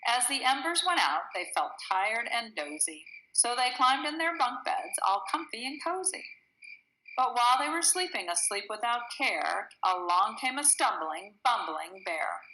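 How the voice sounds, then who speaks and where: A woman reads a children's rhyming story with dramatic, sing-song expression. Her voice sits somewhat high and moves around a great deal in pitch. There is clear background noise on the recording. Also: animated, a little slow, pushed and bright, poor audio. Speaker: storybook reader. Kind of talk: expressive read-aloud of a rhyming children's picture book.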